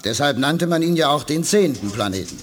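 An actor playing a blunt, sceptical, informal space officer in a 1980s German radio play. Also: male voice, a little high, animated, brisk, natural voice.

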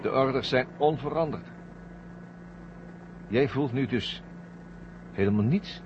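An actor playing a smug, scornful traitor astronaut who talks in a mocking, condescending way, in a 1970 Dutch radio drama. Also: male voice, mid-range voice, slow, heavy background noise, lightly acted. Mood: businesslike. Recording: muffled, humming 1970 radio recording.

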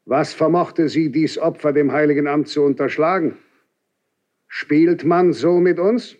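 An actor playing a stern, aged Cardinal Inquisitor in a classical German verse radio drama, speaking with measured, severe deliberation. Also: male voice, on the high side, fairly even intonation, a little mellow, lightly acted. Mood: stern reproach. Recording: slightly dull archival radio drama recording.

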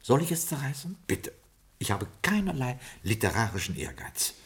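An actor playing a prim, loyal butler to a Scottish lord in a 1960s German radio play, formal, fussy and deferential. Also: male voice, medium pitch, big pitch swings, normal speed, fairly bright tone. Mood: resigned, anxious.

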